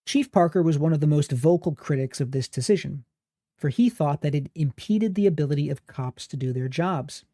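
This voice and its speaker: Man narrating a history podcast, mellow. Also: on the high side, expressive, no echo, studio quality. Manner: measured, analytical, critical. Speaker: podcast host.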